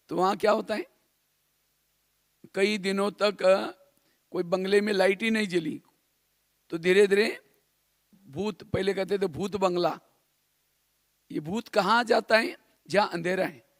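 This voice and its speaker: High-pitched male spiritual teacher. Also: no echo, clean studio sound. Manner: didactic, repeats phrases and poses rhetorical questions. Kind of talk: spiritual class discourse.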